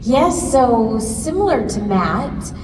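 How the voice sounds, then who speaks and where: A woman voice actor on a convention panel, telling a lively story with quick laughs. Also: on the high side. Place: large convention hall. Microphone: handheld mic, recorded from far off in the audience. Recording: echoey hall recorded from far off, noisy.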